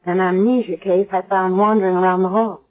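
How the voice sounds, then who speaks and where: An actress as a sharp, dry-witted woman doctor. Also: mid-range voice, fairly even intonation, a little fast, close to an everyday voice. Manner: dry, pointed retorts. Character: sharp, self-assured female doctor. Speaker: hospital doctor. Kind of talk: 1970s radio drama acting. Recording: dull old radio broadcast.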